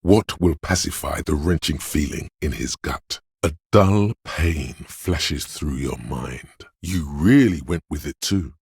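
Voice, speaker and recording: A grim inner voice of pain from a video game, extremely low and very husky. It narrates slowly and deliberately in the second person, brooding and sardonic as it goads the listener on. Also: male voice, a little mellow, dry recording, clean studio sound, exaggerated voice. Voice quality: deep, gravelly, husky.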